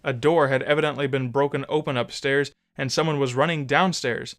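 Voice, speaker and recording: Bright, clear-voiced male audiobook narrator. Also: mid-range voice, steady tone. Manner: solemn, measured, theatrical. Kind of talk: dramatic scripted narration of an old memoir.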